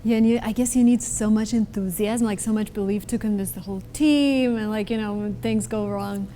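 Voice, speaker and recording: A woman's voice: medium pitch, steady tone, fairly quick, mellow, a little hiss or hum. A woman hosting a casual interview, asking questions and reacting to the answers. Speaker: interviewer and video host.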